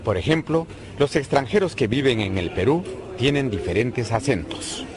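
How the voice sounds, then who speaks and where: Guy narrating a school lesson, bouncy intonation, clear. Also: medium pitch, expressive, moderate pace. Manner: clear, explanatory. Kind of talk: scripted narration.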